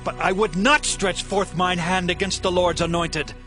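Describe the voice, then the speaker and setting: A man's voice: high-pitched, fairly even intonation, normal speed, over music, natural voice. A man playing an earnest, loyal young warrior in a dramatized scripture recording, speaking formally and declaiming his lines. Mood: solemn appeal.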